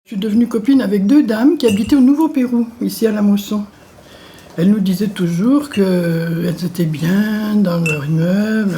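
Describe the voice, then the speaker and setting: Older woman in her seventies, mellow voice. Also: medium pitch, slight background noise. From France. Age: 70s. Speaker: interviewee. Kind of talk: personal testimony.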